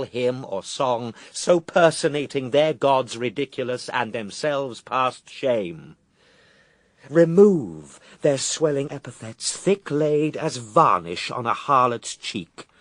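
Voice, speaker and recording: A man's voice: mid-range voice, a bit bright, no echo. An actor playing a solemn, righteous Son of God in a radio verse drama, grave, assured and declamatory in his rebukes. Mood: disdainful, measured.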